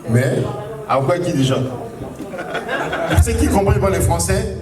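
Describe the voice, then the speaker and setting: A man's voice: sits high, animated, moderate pace. A man hosting a birthday party, teasing the guests in playful banter. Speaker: party host. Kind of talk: joking emcee banter with guests. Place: large indoor hall at a party. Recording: echoey hall, far off, loud crowd.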